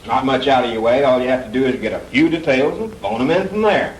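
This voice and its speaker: An actor plays a coaxing American newspaper editor in an old 1960s film. He is businesslike and mid-pitched. The sound is echoey and a little distant, with faint noise. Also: quick pace, close to an everyday voice. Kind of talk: 1960s B-movie acting.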